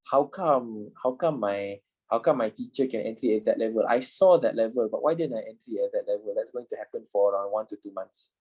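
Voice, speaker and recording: A man's voice: fairly low pitch, fairly quick, hardly any pauses, muffled recording. A man in his twenties teaching a trading class in a chatty, repetitive way, swearing now and then. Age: 20s. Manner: chatty, repeats himself, swears casually. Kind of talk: casual class lecture.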